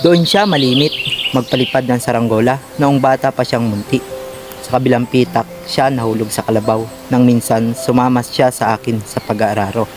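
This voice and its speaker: A man's voice: mid-range voice, fairly even intonation, moderate pace, over music, close to an everyday voice. A man acting an old reminiscing farm grandfather. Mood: nostalgic. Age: older. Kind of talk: radio drama acting.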